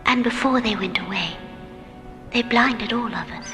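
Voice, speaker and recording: Hushed, echoing alien mystic. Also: female voice, a little high. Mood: solemn, mystical. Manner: solemn, measured. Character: serene, mystical blind alien woman, grave storyteller. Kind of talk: old-time radio science fiction acting. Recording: long hall reverb, music behind.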